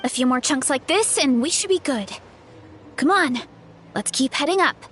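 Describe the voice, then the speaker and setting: High-pitched, earnest anime fighter girl. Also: expressive, normal speed, background noise. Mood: excited, determined. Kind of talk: anime video game voice acting.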